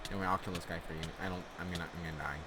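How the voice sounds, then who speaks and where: A man's voice: low voice, steady tone, racing, very noisy. A man telling comic stories casually over gameplay, rambling in a tongue-in-cheek, mock-earnest way. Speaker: host of a gaming storytelling channel.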